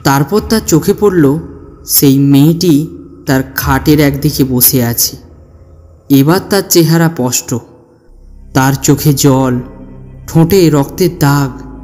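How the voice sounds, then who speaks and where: A man narrating a scripted horror story in a measured, solemn, dramatic way. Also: a little high, a little mellow. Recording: long reverb, music behind.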